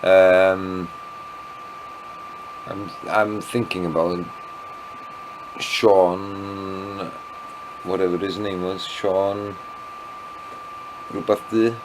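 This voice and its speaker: Welsh guy a bit tipsy, rambling slow, keeps losing his thread, humming noisy recording. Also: low voice, level tone. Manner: meandering, loses his thread, self-deprecating asides. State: tipsy after wine. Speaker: vlogger. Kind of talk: rambling evening video diary.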